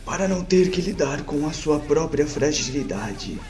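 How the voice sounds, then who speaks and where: A man voicing a scholarly, analytical professor in a tabletop game audio drama, speaking in a measured and formal way. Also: on the high side, animated, a little mellow, soft background music, natural voice. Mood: reflective. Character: scholarly male professor, analytical and fascinated by fanaticism.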